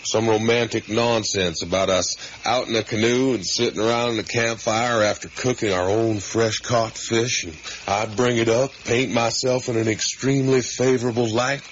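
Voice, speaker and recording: An actor playing an easygoing, talkative middle-aged father in a naturalistic radio drama, with a folksy, rambling, gently teasing manner. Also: mid-range voice, steady tone, normal speed, few pauses, close to an everyday voice. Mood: gentle, apologetic. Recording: muffled, hissy 1980s radio broadcast.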